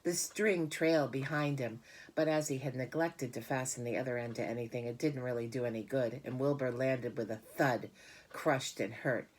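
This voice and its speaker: A woman's voice: extremely low pitch, steady tone, normal speed, a little mellow. A woman reading a children's storybook aloud as narrator. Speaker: storybook reader. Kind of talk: children's book read-aloud.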